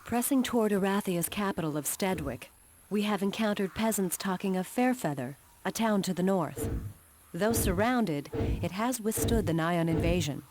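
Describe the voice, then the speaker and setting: An actress playing a resolute female war commander in a game cutscene, speaking in a solemn, measured and formal way. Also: medium pitch, animated, natural voice. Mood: grave, resolute. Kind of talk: scripted cutscene narration. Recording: clearly noisy old game audio.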